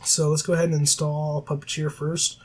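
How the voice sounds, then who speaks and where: A man with a cold-roughened raspy voice, teaching a coding tutorial over a screen recording with many filler sounds and self-corrections. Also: a little high, monotone, moderate pace, a little hiss or hum. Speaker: programming tutor. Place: at a desk, voice-over of screen capture. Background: faint keyboard typing.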